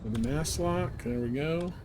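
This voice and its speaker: A man's voice: on the high side, measured pace. A man livestreaming a video game, thinking aloud in casual commentary and chatting with viewers. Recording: voice over clear background noise.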